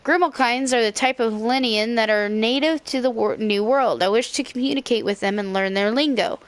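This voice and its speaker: An eager old researcher with creaky bones, keen to help with research, read aloud by a woman. The voice is slightly scratchy and lifts a little above her usual talk. It sounds like plain reading of on-screen game dialogue rather than a full character voice. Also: on the high side, normal speed, no echo, close to an everyday voice.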